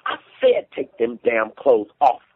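A man's voice: mid-range voice, big pitch swings, takes it slowly, slightly rough, narrow, tinny audio, pitched above the performer's own voice, full character voice. A man voicing a volatile, wounded Southern father, commanding and anguished in rural dialect. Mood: commanding fury.

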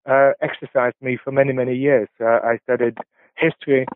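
Brief: professor in his 60s, Polish, halting and reflective, heard over a dry, tinny phone line. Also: medium pitch, flat delivery, no room sound. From England. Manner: halting, many filler sounds and restarts. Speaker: professor, interview guest. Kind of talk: reflective interview answers.

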